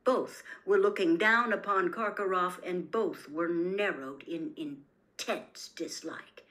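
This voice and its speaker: Slow-paced woman storyteller. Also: lowish voice, fairly bright tone. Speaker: storytelling host reading aloud. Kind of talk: scripted audiobook narration.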